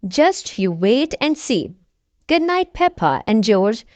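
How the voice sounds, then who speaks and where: A woman's voice: fairly high pitch, sing-song, slow pace, darkish tone, no room sound. A woman voicing a kindly, reassuring mother pig in a children's storybook.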